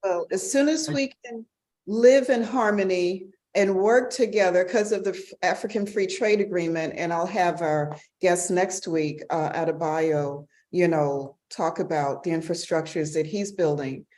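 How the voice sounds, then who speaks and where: A woman's voice: on the low side, unhurried, darkish tone. A woman hosting a casual interview, encouraging her guests and explaining with analogies. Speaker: podcast host.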